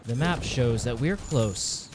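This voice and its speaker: A man narrating video game footage in a dramatic, documentary-like storytelling style with formal, measured wording. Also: mid-range voice, expressive, noisy background. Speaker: game streamer narrating own hunt. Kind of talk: scripted-sounding nature documentary style game commentary. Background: game music under the voice.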